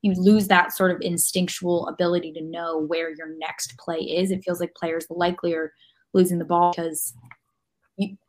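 A woman's voice: fairly low pitch, level tone, normal speed. A woman giving casual, articulate and analytical sports commentary as a podcast panelist.

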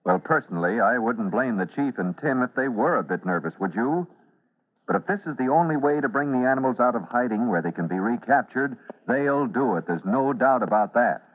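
A man's voice: mid-range voice, expressive, fairly quick. A man narrating a vintage radio serial dramatically, addressing listeners directly as its announcer. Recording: tinny old radio recording.

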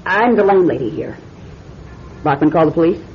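A woman's voice: sits low, animated, fairly quick. An actress playing a gossipy, dismissive landlady with a chatty, folksy, offhand way of talking in an old-time radio drama. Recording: dull, noisy old radio drama recording.

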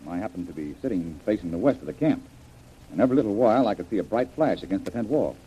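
A man's voice: fairly low pitch, animated, fast, dark tone. A man playing a shrewd, commanding adventurer with a pointed, probing manner, in an old radio serial. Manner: pointed, interrogating. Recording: muffled, noisy old radio recording.

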